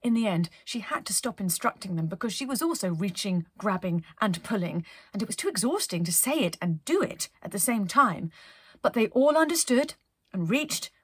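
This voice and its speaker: Husky, very animated woman storyteller. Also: medium pitch, no room sound. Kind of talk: animated children's story narration.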